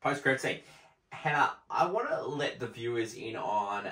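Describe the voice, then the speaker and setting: A man's voice: mid-range voice, expressive, moderate pace, background noise. Guy hosting a laid back movie review stream, echoey room sound. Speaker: film review show host. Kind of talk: casual film review banter on a live stream.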